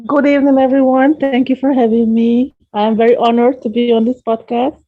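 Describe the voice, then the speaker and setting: Guest read: woman, earnest and measured, slightly high voice, dull lo-fi sound. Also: level tone. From Hungary. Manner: earnest, measured, gently repeats words. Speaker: astrologer guest. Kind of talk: interview answers, explaining at length. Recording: dull lo-fi podcast audio.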